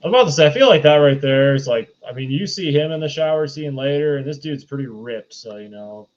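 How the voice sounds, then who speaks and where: A man's voice: mid-range voice, fast. A man co-hosting a podcast, giving casual opinions on a film over a dull microphone. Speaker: podcast co-host. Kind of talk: casual film review chat.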